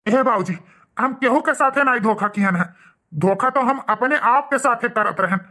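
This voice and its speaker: A man's voice: extremely high pitch, moderate pace, sharp tone. A man voicing a callous, self-justifying young husband in a cartoon family drama, defiant, with an Awadhi accent. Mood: defiant, cold. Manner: defiant, blunt.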